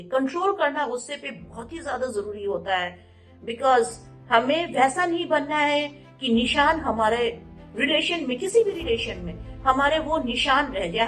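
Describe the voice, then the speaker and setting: A high-pitched woman tells a moral story in an easy, conversational manner, pausing and filling gaps as she goes. She is a motivational speaker, and soft music plays faintly behind her. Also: rough recording. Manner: conversational, mixes English words into Hindi, frequent hesitations.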